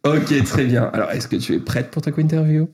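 A man's voice: fairly high pitch, expressive, moderate pace, darkish tone. A man hosting a podcast interview, playful and teasing, fond of flowery introductions. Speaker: podcast host.

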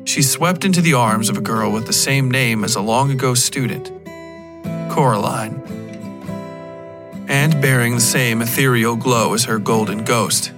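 Male host-narrator for dark fiction, measured and dramatic, clear music bed of plucked guitar. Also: mid-range voice. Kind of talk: scripted narration, dark fiction podcast promo.

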